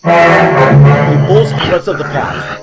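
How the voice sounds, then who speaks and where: Slow, gravelly male narrator over loud music. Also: fairly high pitch, fairly even intonation. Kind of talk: scripted horror audio drama narration.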